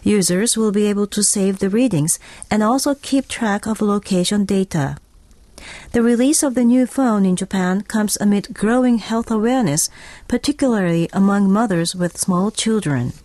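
A woman's voice: medium pitch, normal speed, mellow, no echo. A woman news reader presenting a scripted radio report.

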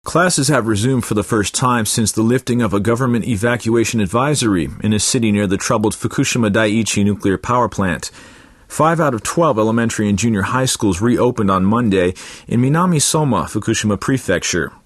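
A man's voice: lowish voice, a little fast, no room sound. A man reading a scripted international news report for radio as a news reader.

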